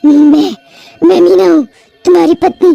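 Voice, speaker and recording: A sorrowful young ghost witch performed by a woman in a horror cartoon. Her voice sits high and has a plaintive, dramatic manner, with faint music behind it. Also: steady tone, moderate pace, vocal fry, mellow, no echo, dull audio, heavily acted. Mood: vengeful. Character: sorrowful pregnant witch, young dead wife turned ghost. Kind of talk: Hindi horror cartoon voice acting.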